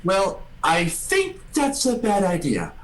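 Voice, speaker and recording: Brief: woman, mid pitch, lively intonation, old-time radio parody over video call. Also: takes it slowly, very noisy. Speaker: cast member in a comedic radio play reading. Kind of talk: 1940s radio acting with crude jokes.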